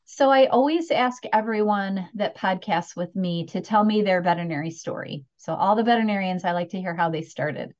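A woman's voice: medium pitch, fairly quick, few pauses, a little mellow, muffled recording. A woman hosting an interview podcast, enthusiastic and encouraging as she asks questions. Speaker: podcast host.

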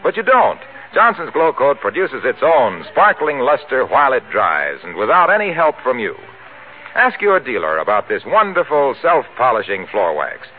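A man's voice: medium pitch, very animated, moderate pace, slight background noise. A man announcing a radio advertisement in polished, upbeat 1940s sales style. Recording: tinny 1940s radio broadcast.

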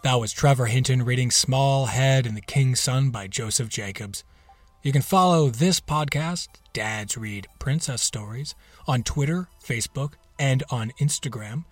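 A man's voice: mid-range voice, unhurried, dry recording. A man hosting a podcast, giving a casual scripted sign-off in a polite, understated manner. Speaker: podcast host. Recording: music behind a dry voice.

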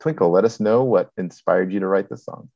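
A man's voice: low-pitched, fairly quick, gravelly, a little mellow. A man asking questions as the host of a casual interview, on slightly muffled audio.

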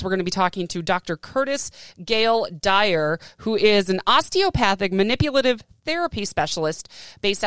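A woman's voice: medium pitch, fairly bright tone, clear, resonant tone, no echo. An American woman hosting a podcast, chatting casually in an enthusiastic, informal, self-deprecating way. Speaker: podcast host.

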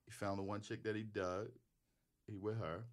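A man's voice: fairly low pitch, moderate pace. A young man co-hosting a casual chat, telling slangy, joking stories. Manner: animated, joking, slangy storytelling. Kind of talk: casual banter.

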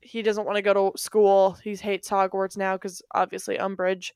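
A woman co-hosting a podcast, chatting casually with many fillers and frequent laughs, in a slightly deep voice. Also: little pitch movement, moderate pace, a little mellow, clear, resonant tone, dry recording, studio quality. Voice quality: rambling, filler-heavy, laughs often; deeper voice. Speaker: podcast co-host. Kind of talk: casual chat.

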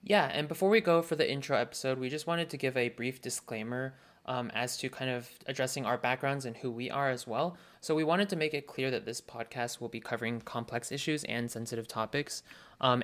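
A man's voice: medium pitch, brisk. A man in his twenties, co-hosting a podcast remotely, talking casually with plenty of ums. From Colorado. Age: 20s. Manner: relaxed, many ums. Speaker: podcast co-host. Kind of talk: casual podcast chat, partly read. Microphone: remote home mic.

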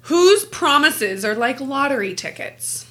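Young woman podcast co-host, sweary and blunt, crisp bright tone. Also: high-pitched, very animated, a little slow. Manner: blunt, swears freely, laughs often. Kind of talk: casual chat over wine.

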